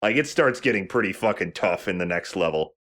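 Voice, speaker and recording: A man's voice: low-pitched, lively intonation, a little fast, fairly bright tone. A man giving casual, explanatory and opinionated let's play commentary.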